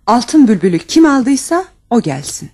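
A woman's voice: a little high, very animated, normal speed, dark tone. A woman playing a proud, commanding young fairy sultana in a fairy tale audio storybook, speaking formally. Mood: imperious.

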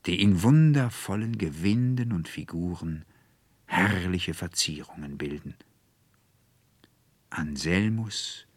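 Deep, slow male literary reader, standard German. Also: no echo. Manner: solemn, rapturous, drawn-out delivery. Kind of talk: dramatic literary audiobook reading.

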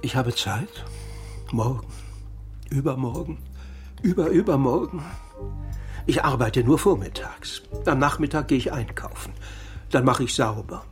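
A man's voice: mid-range voice, unhurried, long pauses, faint music behind, natural voice. Actor as bitter, lonely man in his fifties. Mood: resigned. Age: 50s. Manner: aggrieved, wry, formal and articulate. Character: bitter, lonely laid-off former editor-in-chief, man of fifty-four. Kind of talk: radio crime drama acting.

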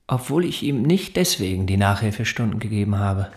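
An actor playing a self-important, intense private tutor in a radio drama, with precise, condescending, literary phrasing. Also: male voice, on the low side, expressive, a little fast, slight background noise. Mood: smug, earnest. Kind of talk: German radio drama acting, dialogue and inner monologue.

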